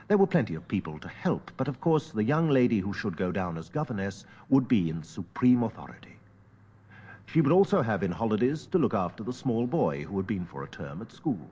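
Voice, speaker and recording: Husky, dramatic gentleman storyteller. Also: mid-range voice. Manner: measured, theatrical, with weighty pauses. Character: educated Victorian gentleman recounting a haunting memory, grave and wistful. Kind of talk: dramatic literary audiobook narration.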